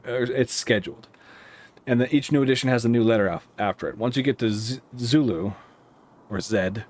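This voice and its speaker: Rambling American man explaining as he plays. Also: mid-range voice. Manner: rambling, halting, thinking aloud. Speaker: air traffic controller streaming a simulator game. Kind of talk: casual explanatory gameplay commentary.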